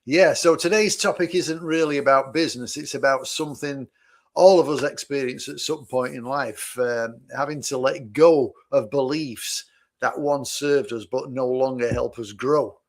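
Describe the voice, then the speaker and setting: A man co-hosting a live stream in a relaxed, chatty way. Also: medium pitch, moderate pace. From England, Manchester. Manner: relaxed, brief affirmations, clears throat often. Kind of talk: casual livestream chat.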